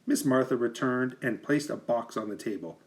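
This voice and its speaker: A man reading a children's picture book aloud like a teacher, with expressive, deliberate emphasis for young listeners. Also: medium pitch. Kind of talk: expressive children's storybook narration.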